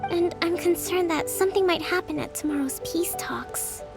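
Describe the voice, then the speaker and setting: A woman playing a gentle, earnest young island leader in a video game, speaking politely and in a measured, composed way. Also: very high-pitched, vocal fry, over loud music. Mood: calm. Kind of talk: video game character acting.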